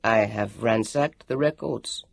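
A deep, slow, stiffly formal man, put on by the narrator, gravelly and dry. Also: dry recording, heavily acted. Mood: solemn. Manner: stiff and precise, no contractions.

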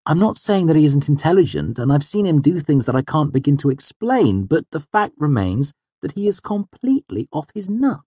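Man playing clever young male student, lively intonation, wry, earnest, emphatic, dry close sound. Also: medium pitch, normal speed, husky, no room sound, voice pushed up. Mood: exasperated. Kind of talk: audiobook character dialogue.

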